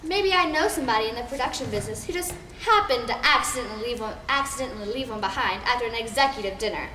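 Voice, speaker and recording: A woman's voice: fairly high pitch, lively intonation, fast talker, pushed and bright. A young female performer playing catty, giddy soap opera fanatics in an exaggerated comedic school skit. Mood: smug, scheming. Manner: exaggerated, theatrical. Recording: echoey hall, faint noise.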